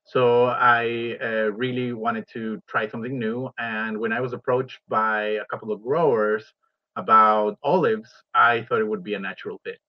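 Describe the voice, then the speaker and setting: Halting man from Ecuador, dry dull sound. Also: medium pitch, little pitch movement, dry recording. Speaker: university professor, interview guest. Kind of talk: explanatory radio interview answers.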